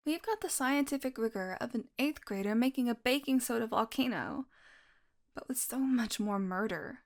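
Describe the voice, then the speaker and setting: A woman's voice: fairly high pitch, lively intonation, moderate pace. A woman acting a playful, sardonic, curious young vampire in an intimate audio roleplay. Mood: playful, wry. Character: teasing, curious young female vampire doing experiments.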